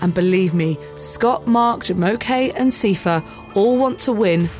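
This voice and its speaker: A woman's voice: medium pitch, a little slow, a little mellow, soft background music. A woman hosting and reporting on a podcast, presenting a scripted segment in an upbeat, announcer-like way.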